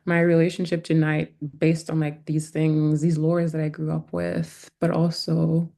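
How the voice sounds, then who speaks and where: A woman's voice: deep voice, completely flat delivery, measured pace, creaky, mellow. A woman photographer from Haiti talking casually about their practice, thinking aloud in an exploratory way. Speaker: photographer, panel guest. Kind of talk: conversational artist talk.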